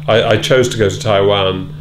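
Low, flat-voiced man telling his story. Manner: measured, thoughtful, with many ums and repeated words. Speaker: interviewee, former British Council officer. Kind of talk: reflective interview answers. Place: wood-panelled room indoors. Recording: loud hum behind.

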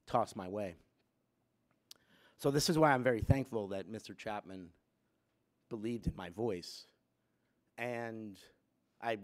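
A man in his fifties from Puerto Rico gives a personal talk to students at a chapel lectern, conversational with many fillers and growing emphatic. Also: medium pitch. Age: 50s. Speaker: chapel speaker. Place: wood-panelled school chapel, students seated behind. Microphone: gooseneck lectern mic.

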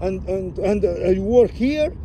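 A man's voice: sits high, animated, unhurried, dark tone, a little hiss or hum. A Portuguese man speaking broken English in an effusive, friendly way as a taxi passenger, recorded inside a car. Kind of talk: casual chat. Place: inside a car on a city street.

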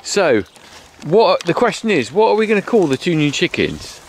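A man's voice: high-pitched, big pitch swings, normal speed. A middle-aged man, chatting cheerfully to his handheld camera as a farmer vlogger, asking plenty of questions. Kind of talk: casual vlog chat. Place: outdoors on a farm and in a car. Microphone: handheld camera selfie. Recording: noisy outdoor camera audio.

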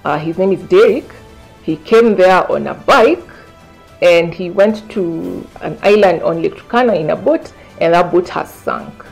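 A woman's voice: on the low side, unhurried, creaky, a little mellow, husky. A woman, recounting events conversationally in an indoor interview. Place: indoor living room, seated. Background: faint music.

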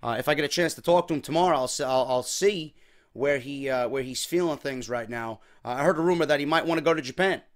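A young man, his voice slightly worn, hosting a casual wrestling talk show from a small home room on a desk microphone, energetic and chatty. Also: medium pitch, fast. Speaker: podcast host. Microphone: desk mic on boom arm.

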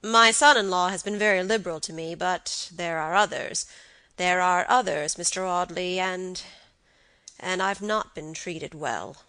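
A woman's voice: mid-range voice, moderate pace, sharp tone, no echo, poor audio. A woman voicing a peevish, self-pitying old grandfather in a storybook, plaintive and apologetic. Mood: peevish, tearful. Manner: plaintive, murmuring, repeats himself.

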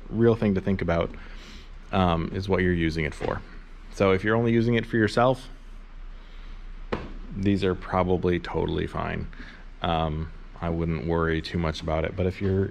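A man's voice: lowish voice, a little hiss or hum. A man in his thirties casually explaining how to start a worm farm, rambling with lots of ums, filmed close in a large barn. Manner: relaxed, rambling, many "um"s. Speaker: worm farmer. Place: large insulated barn or workshop, indoors. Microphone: camera held low, close.

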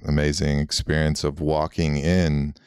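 Very deep-voiced, smooth man, Armenian native. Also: little pitch movement, measured pace, a little mellow, no echo, clean studio sound. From United States. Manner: reflective, rambling, with many "you know" asides. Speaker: guest artist. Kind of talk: casual podcast conversation.

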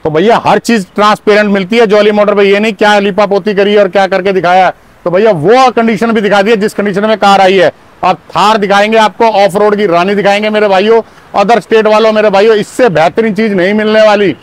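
A middle-aged man with a fairly high voice, selling used cars in a punchy, energetic pitch. He keeps addressing the listeners as his brothers. He is recorded outdoors on a car lot with a clip-on lapel mic. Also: fairly quick, no echo. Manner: punchy, repeats "my brothers" often.

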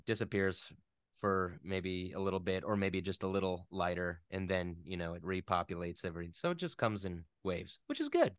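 Smooth, low-voiced man in his thirties. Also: fairly bright tone, no room sound, studio quality. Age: 30s. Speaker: interview guest, voice actor. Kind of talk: casual interview chat.

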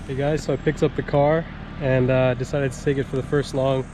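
A man's voice: mid-range voice, little pitch movement, brisk, background noise. Enthusiastic man vlogging outdoors on camera. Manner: enthusiastic, chatty, shows off car details. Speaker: car vlogger. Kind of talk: casual vlog narration. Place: outdoors at a shop lot and a mountain overlook. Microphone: action camera held or mounted.

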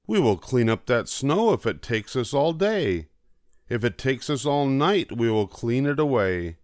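A man's voice: medium pitch, big pitch swings, unhurried, no echo, studio quality, voice pushed up. An older man voicing eager, determined little helper cats in a children's storybook, with an emphatic can-do manner. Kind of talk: children's storybook character reading.

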